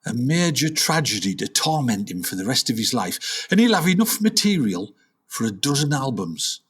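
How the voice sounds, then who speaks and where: A man playing a weary, delusional older father and failed jazz musician in a comic radio play, musing grandly in a husky voice. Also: mid-range voice, sing-song, normal speed, a bit bright. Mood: grandiose, resolved. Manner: dramatic musing, talking to himself.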